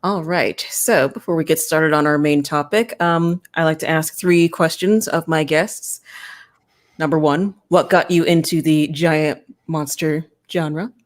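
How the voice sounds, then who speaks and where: A woman's voice: sits low, fairly even intonation, unhurried. A woman hosting a podcast, chatting casually as she interviews a guest. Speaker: podcast host.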